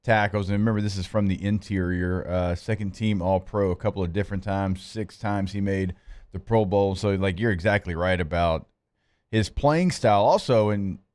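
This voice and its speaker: A man co-hosting a sports radio show in a studio, steady and matter-of-fact, reeling off stats. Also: deep voice, normal speed. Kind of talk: casual sports talk banter.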